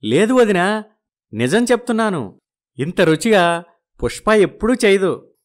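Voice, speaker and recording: A man's voice: sits high, big pitch swings, measured pace. A man playing a genial, food-loving village brother-in-law in a moral story, effusive and full of exclamations. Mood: delighted.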